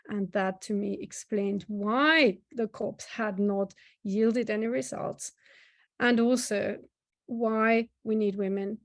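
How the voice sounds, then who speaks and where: A woman speaking on a video call as a panel moderator and speaker, earnest, talking quickly at times. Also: fairly high pitch, vocal fry, darkish tone, dry recording, studio quality. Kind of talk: casual panel talk.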